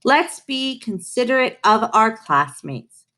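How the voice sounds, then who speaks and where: A woman's voice: on the high side, big pitch swings, slow, bright, forceful tone, full character voice. Woman putting on an easygoing mouse schoolmaster. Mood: gently firm. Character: cool, easygoing male schoolteacher mouse, winking and kindly firm.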